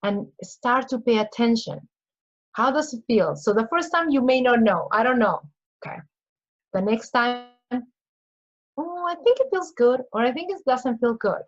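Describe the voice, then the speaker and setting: A woman's voice: fairly high pitch, animated, moderate pace, highs cut off. A woman from Peru with a Peruvian Spanish accent, speaking earnestly as a guest coach in a casual interview and acting out little inner voices. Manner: earnest, repeats phrases, acts out inner voices.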